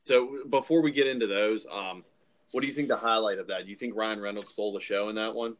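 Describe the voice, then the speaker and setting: A man's voice: fairly low pitch, steady tone, fast talker. A man in his thirties hosting a casual podcast chat, informal, emphatic and opinionated. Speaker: podcast host.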